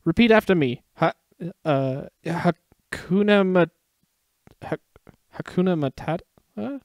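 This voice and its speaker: A man voicing a wisecracking, excitable meerkat in a dramatic fan fiction reading, huffy and showy. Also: high voice, takes it slowly, no echo, studio quality, pitched above the performer's own voice, full character voice. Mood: bossy. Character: wisecracking, excitable male meerkat sidekick, brash and cheery.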